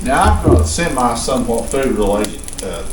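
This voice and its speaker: A man's voice: medium pitch, sing-song, normal speed. A middle-aged man telling a story casually, in a rambling, self-deprecating way. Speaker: co-host telling stories. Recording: distant, echoey, noisy kitchen pickup.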